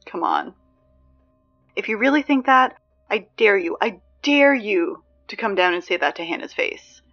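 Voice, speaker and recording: A woman playing a sarcastic, exasperated high school announcer in a scripted audio drama, dry and emphatic in delivery. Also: animated, measured pace, lo-fi sound, soft background music. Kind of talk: scripted fiction podcast, PA announcements.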